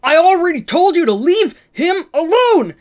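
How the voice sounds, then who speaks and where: A woman's voice: very high voice, sing-song, moderate pace, darkish tone, voice pushed up. A woman playing an embattled young male superhero, urgent, defiant and protective, in a story reading. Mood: furious, protective. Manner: snarling, urgent.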